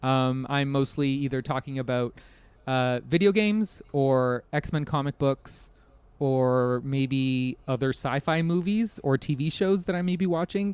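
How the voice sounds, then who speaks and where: A man's voice: mid-range voice, clean tone, no room sound. Casual, rambling man in a dry recording. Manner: rambling, hedging, self-deprecating, chuckles as he talks. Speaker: podcast guest and fellow podcaster. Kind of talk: casual podcast chat.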